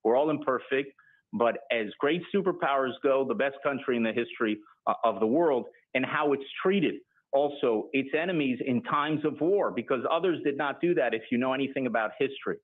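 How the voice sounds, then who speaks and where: Middle-aged American male guest, deliberate arguer, tinny phone-like audio. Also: medium pitch. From United States. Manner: deliberate, argumentative, rhetorical questions. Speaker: political guest. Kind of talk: measured political argument in interview. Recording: tinny broadcast audio re-recorded.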